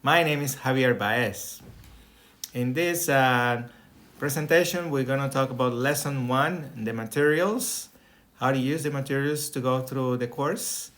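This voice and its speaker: A man's voice: mid-range voice, measured pace, fairly bright tone. A middle-aged man from Colombia with a Colombian accent, a native Spanish speaker, giving an online Spanish lesson over a video call and checking in with "okay?" as he explains each step. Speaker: Spanish teacher. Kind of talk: online lesson with screen share. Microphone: webcam or laptop mic on video call.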